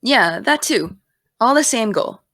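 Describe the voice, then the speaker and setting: A woman's voice: on the high side, expressive, a little slow, fairly bright tone, no echo, studio quality, full character voice. A woman playing a driven, distracted college-bound young woman in an audio drama, speaking casually and wryly. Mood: wry, relaxed. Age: young adult. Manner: casual, wry. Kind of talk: naturalistic audio drama dialogue.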